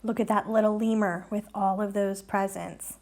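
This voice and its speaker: A woman's voice: medium pitch, steady tone, normal speed, a little mellow. A woman reading a picture book aloud to children like a teacher, explaining and asking the listeners questions. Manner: instructive, asks listeners questions.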